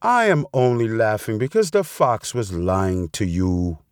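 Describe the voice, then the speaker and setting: A man's voice: lowish voice, expressive, a little slow, clean tone, no echo, studio quality. A man voicing a reassuring young shepherd boy in a storybook tale. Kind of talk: storybook character voice.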